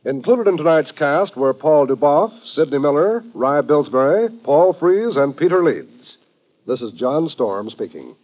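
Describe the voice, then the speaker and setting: A man's voice: a little high, big pitch swings, unhurried, loud music behind the voice. An American man announcing in a scripted, polished, declamatory 1950s radio style. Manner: polished, declamatory promotional delivery. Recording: muffled vintage radio broadcast.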